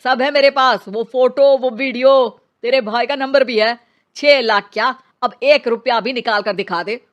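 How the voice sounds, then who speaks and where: A woman's voice: a little high, unhurried, lo-fi sound, lightly acted. A woman voicing blunt, scornful men such as policemen and husbands in a dramatised cartoon story. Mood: angry, threatening. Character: male characters: gruff policemen and scornful angry men. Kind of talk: dramatised Hindi story dialogue.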